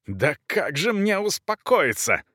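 Audiobook role: male reader as irate young noblewoman, high-pitched, haughty, emphatic and scandal-making. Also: big pitch swings, takes it slowly, breathy edge, dry recording, studio quality, exaggerated voice. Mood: indignant. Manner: indignant, shouting, emphatic. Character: young furious countess, haughty, shrill-tempered, scandal-making.

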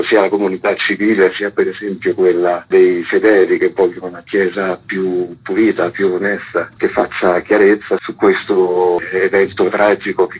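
A man with a very deep, husky voice explains his case earnestly in a radio interview. His intonation stays flat, and the line sounds dull and lo-fi. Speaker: interview guest, theatre author and director.

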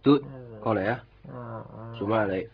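A man's voice: lowish voice, normal speed, crowd noise, natural voice. A man acting a moody, withdrawn young man in a radio drama, giving terse, muttered replies with a Hong Kong Cantonese accent. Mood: sullen. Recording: dull lo-fi radio recording, clear music behind.

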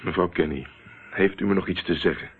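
A shrewd investigator in a radio drama, with a very low voice and dry, probing delivery. He teases and presses in a controlled way. The sound is narrow and muffled like an old radio tape. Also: steady tone, a little fast, gravelly, breathy edge, exaggerated voice. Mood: probing, firm. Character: shrewd, teasing male investigator, calmly pressing. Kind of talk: radio play acting.

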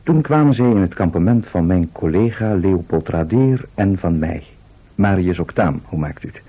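Deep, husky radio-serial narrator. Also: male voice, lively intonation, normal speed, heavily acted. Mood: urbane, measured. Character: urbane man from the twenty-sixth century narrating a recap. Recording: thin, narrow-band old radio recording.

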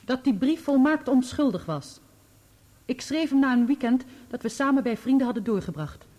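A composed, loyal woman on the witness stand. Her voice is soft-edged and mellow, and she answers in measured, formal sentences, in radio drama style. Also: high-pitched, expressive. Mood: earnest, calm. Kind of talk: Dutch radio play acting.

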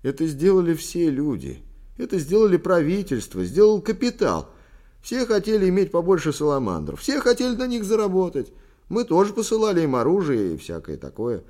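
A man's voice: on the low side, animated, a little fast, a little mellow, voice pushed up. A man voicing an anxious, dutiful grown son in an audiobook, urgent and pleading as he soothes. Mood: insistent, heated.

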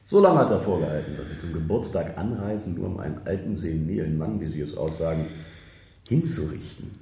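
An actor playing a probing, matter-of-fact police interrogator in a radio drama, with a brisk, pressing manner. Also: male voice, low-pitched, expressive, creaky, mellow, roomy sound. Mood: probing, insistent.

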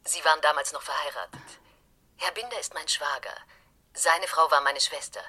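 A discreet, purposeful woman in a crime radio play. Her low voice is breathy yet sharply bright, and she speaks formally, choosing her words with care. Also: full character voice. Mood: formal, serious. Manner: formal, deliberate.

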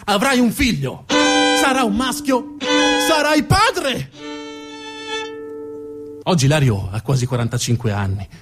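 A man narrating a theatrical monologue as a stage actor, dramatic, with emphatic repetitions and deliberate pauses. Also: high voice, wildly swooping pitch. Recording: music behind the voice.

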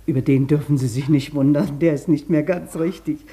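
A deep-voiced woman in a radio play. She is a long-term patient who bosses and chatters. Her manner is blunt, knowing and colloquial. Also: fairly even intonation, a little fast, warm tone. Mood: chatty, knowing. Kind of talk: German radio play acting.

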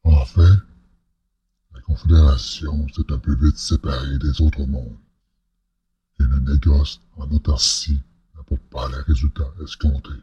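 A man's voice: flat delivery, unhurried, warm tone, no echo, muffled recording, full character voice. A man playing a grave, courteous head of state in a science-fiction audio drama, speaking formally and with measure. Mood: measured, confiding. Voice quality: very deep, husky, rasping.

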